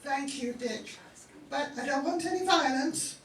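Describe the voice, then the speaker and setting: A woman's voice: high-pitched, measured pace. A woman playing a bossy, motherly, decisive Missus Claus with dramatic delivery in an amateur comic radio play. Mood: earnest. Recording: echoey room, distant mic, faint noise.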